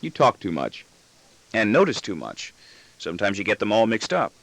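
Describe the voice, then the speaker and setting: A man's voice: lowish voice, big pitch swings, normal speed, vocal fry, a bit bright, dry recording, heavily acted. A man playing an exasperated, sardonic father with firm, wry retorts in an audiobook story. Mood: firm, teasing. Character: exasperated, sardonic father sparring with his son.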